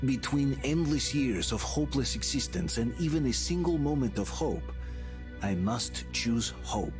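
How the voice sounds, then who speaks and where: Brief: man voicing a grave, weary male soldier narrating a game intro, mid pitch, loud score behind. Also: expressive, measured pace, over music, lightly acted. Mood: solemn. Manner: grave, reflective.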